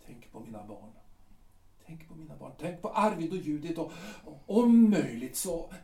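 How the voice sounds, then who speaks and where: A man plays an ailing artillery captain, stubborn yet terrified of death. He hesitates, pleads and insists by turns in an audio drama. The voice sits a little high and sounds roomy and distant from the mic. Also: very animated, creaky, mellow. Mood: fearful. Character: sick aging artillery captain husband, proud and fearful. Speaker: Edgar.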